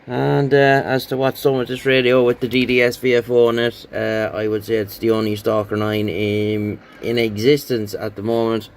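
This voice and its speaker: Low gravelly guy rambling casually through a hobby demo, bit of background noise. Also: little pitch movement, normal speed, few pauses. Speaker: radio hobbyist presenting a rebuilt CB radio. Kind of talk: casual hands-on show-and-tell.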